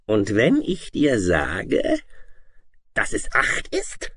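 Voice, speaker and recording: A man voicing a huge, spiteful female dragon schoolmistress in a storybook, bullying and menacing, with a harsh screechy voice and drawn-out hissed words. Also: lowish voice, wildly swooping pitch, fairly bright tone, slightly rough, pitched above the performer's own voice, heavily acted. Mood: lurking, menacing.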